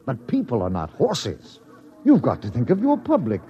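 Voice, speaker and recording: An actor playing a wordy, boozy Broadway quack doctor who hems and exclaims in 1950s radio drama style. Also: male voice, medium pitch, wildly swooping pitch, moderate pace, soft background music. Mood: skeptical, hemming. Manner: hemming, exclamatory, mock-learned. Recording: dull vintage radio recording.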